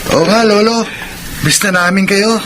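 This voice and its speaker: A young Filipino son played by a male actor, chatty, eager and respectful. His voice is pitched high. The radio drama has clear noise in the recording. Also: moderate pace, natural voice. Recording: noisy radio drama broadcast.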